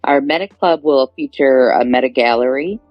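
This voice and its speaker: A woman's voice: extremely low pitch, normal speed, no echo. Woman guest, hesitant, lots of ums, dry muffled web audio. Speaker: guest, NFT project representative. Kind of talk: casual online panel talk. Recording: dull lo-fi online audio stream.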